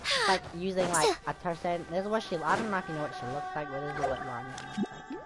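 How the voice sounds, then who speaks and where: Lower-pitched woman streaming a game. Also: big pitch swings, quick pace, gravelly. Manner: chatty, informal, slangy, talks to the game. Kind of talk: casual live gameplay commentary. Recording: game music behind the voice. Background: video game music.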